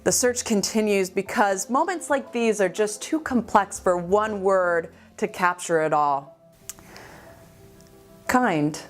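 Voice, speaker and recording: Woman reciting slam poetry over music. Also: fairly low pitch. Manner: deliberate, dramatic phrasing with pauses. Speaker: amateur slam poet.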